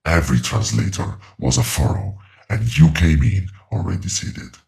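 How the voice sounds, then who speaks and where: Abyssally deep, creaking ancient entity. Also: male voice, lively intonation, a little mellow, exaggerated voice. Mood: ominous, instructive. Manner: slow, deliberate, ominous pronouncements. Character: ancient otherworldly male entity, solemn, relentless, coldly knowing. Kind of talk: horror audio drama monologue.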